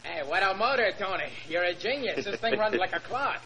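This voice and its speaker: An American actor playing an excitable, hearty male racing driver in a 1940s radio drama, with punchy exclamations. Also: sits high, a little fast, a loud crowd. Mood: elated, hearty. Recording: noisy old 1940s radio recording.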